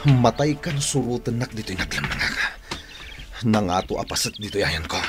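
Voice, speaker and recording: Radio drama villain: Filipino accent, taunting armed fugitive, highly animated pitch, noisy recording. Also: male voice. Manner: theatrical, taunting, swinging from mutter to shout. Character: menacing armed male fugitive hunting his rival. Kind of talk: Ilocano radio drama acting.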